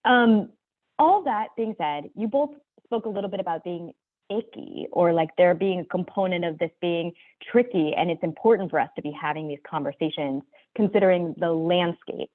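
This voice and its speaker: Panel moderator, woman, chatty and loose, slightly low voice, tinny call audio. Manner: chatty, loose, with fillers and quick apologies. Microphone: video call.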